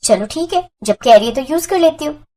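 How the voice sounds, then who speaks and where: Young newlywed bride played by a female narrator, high voice, earnest, accommodating, storybook drama. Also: lively intonation, a little fast, mellow. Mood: puzzled. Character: good-natured newlywed young daughter-in-law.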